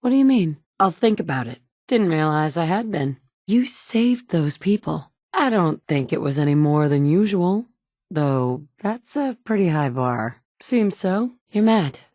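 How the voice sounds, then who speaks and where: A tough, level-headed survivor who gives it to people straight, with no fuss and a dry edge of humor. Her voice sits a little low for a woman, close-miked and completely dry. It is game dialogue delivered plainly and with confidence. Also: big pitch swings, measured pace, darkish tone, dry recording. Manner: direct, matter-of-fact. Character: capable, dry-witted woman survivor and leader. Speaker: player character. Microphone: close studio mic.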